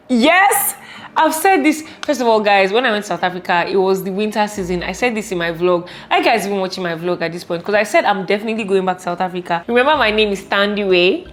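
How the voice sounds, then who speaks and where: A woman in her late twenties with a Nigerian accent, chatting casually and playfully as a vlogger answering viewer questions, animated and exclamatory. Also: mid-range voice, quick pace, few pauses. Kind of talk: casual Q&A vlog chat.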